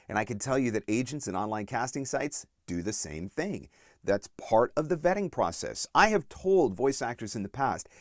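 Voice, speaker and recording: A man giving instructional tips as a voice-over coach, speaking directly and emphatically with deliberate stress on key points, close on the mic. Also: mid-range voice, dry recording. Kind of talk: instructional video talk to camera, numbered tips.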